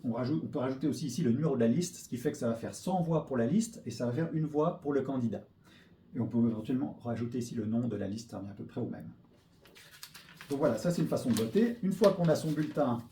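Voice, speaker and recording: A man's voice: mid-range voice, mellow. Man explaining in an echoey room. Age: 40s. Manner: plain, patient explaining with occasional hesitations. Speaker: local politician giving a voting tutorial. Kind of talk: step-by-step explanatory tutorial. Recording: echoey room with faint noise.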